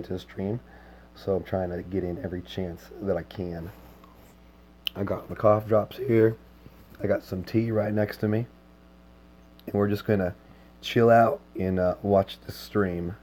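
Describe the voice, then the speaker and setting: A hoarse man chats easily with viewers on his livestream, his voice sitting low and dark. He talks in a relaxed, unhurried way, scattering filler words between his thoughts. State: hoarse, lost voice. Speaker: game streamer. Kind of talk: casual livestream chat with viewers.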